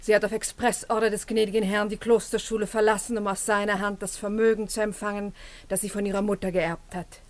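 An actress playing a prim, pious governess with a haughty, scolding manner in a theatrical radio play. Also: female voice, mid-range voice, level tone, brisk, lightly acted.

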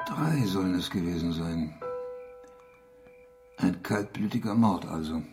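A man's voice: deep voice, lively intonation, a little slow, over music. An actor playing a troubled, bitter village man, brooding and intense, in a German radio crime drama. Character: troubled, bitter rural Frenchman, haunted by village violence.

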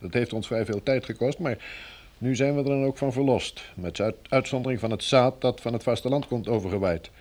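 An actor playing a polite, affable pilot in a Dutch radio drama, explaining things courteously. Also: male voice, mid-range voice, brisk, a little mellow, lightly acted. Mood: informative. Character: polite, affable helicopter pilot, male fellow survivor.

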